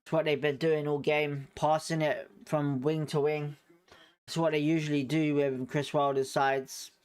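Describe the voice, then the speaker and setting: Clear-voiced male fan doing match commentary. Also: on the high side, level tone, measured pace, a little mellow. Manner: chatty and opinionated, hedging his views. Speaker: fan watchalong host.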